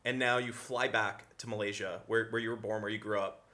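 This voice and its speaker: A man with a fairly low voice hosts a casual podcast interview. He keeps things relaxed and encouraging, chiming in with short agreements and steering the story with easygoing questions. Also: a little fast. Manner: easygoing, encouraging, brief backchannels. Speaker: podcast host.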